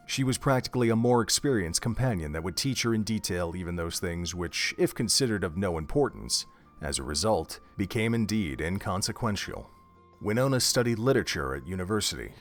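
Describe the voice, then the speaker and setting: A man narrating an audio drama in an expressive storybook manner. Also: low voice. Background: faint music.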